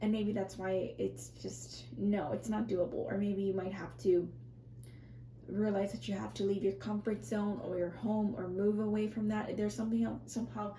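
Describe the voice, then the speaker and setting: A woman with a scratchy, strained voice reading tarot in a casual, rambling way, often correcting herself and speaking softly to viewers. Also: mid-range voice, steady tone, normal speed, echoey room. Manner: rambling, self-correcting, soft and reassuring. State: scratchy, strained voice, clearing her throat. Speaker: tarot reader.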